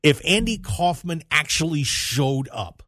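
An American man hosting a sports talk radio show, telling stories in an animated way with emphatic repetition. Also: fairly high pitch, measured pace, no room sound, clean studio sound.

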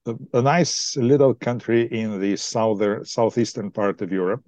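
A man with a Bulgarian accent, a pastor explaining his church thoughtfully in a podcast interview, pausing often to find words. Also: on the low side, darkish tone, no echo. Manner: explanatory, thoughtful, frequent hesitations. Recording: dry, dull lo-fi sound.

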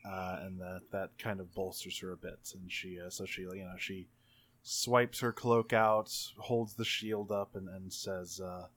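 A man narrating improvised action in a tabletop roleplaying game, halting as he thinks aloud, with dramatic flourishes. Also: deep voice, little pitch movement, fairly bright tone. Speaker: tabletop game player narrating his character.

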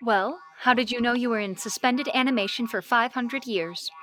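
A woman's voice: on the high side, normal speed, fairly bright tone, lightly acted. A young woman playing a spirited, curious space soldier in old-time radio serial style, with a perky, inquisitive manner. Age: young adult. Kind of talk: 1930s radio serial acting.

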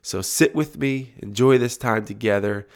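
A young man talks alone into his podcast mic, his head cold making his low voice sound deep and resonant. He speaks in a relaxed, reflective way and often restarts words as he gathers his thoughts. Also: moderate pace. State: congested with a cold. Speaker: podcast host. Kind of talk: solo podcast monologue. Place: home recording room.

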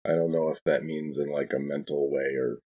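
A man's voice: very deep voice, steady tone, brisk, dark tone, slightly rough. A man playing a tabletop role-playing game, talking casually and a little hesitantly in character.

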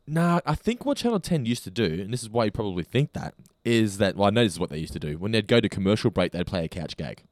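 A man co-hosting a podcast, sounding stuffy from hay fever, in quick, lively casual chat. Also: on the low side, no echo, studio quality. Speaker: podcast co-host.

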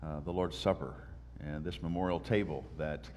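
A pastor with a congested voice from a sinus infection welcomes the congregation in church and leads them in prayer with earnest, steady delivery. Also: male voice, deep voice, moderate pace, a little hiss or hum. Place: church sanctuary. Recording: long church-hall reverb.

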